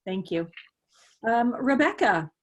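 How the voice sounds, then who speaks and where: A woman's voice: a little high, lively intonation, slow. A woman hosting an online group call, moderating casually. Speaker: call host.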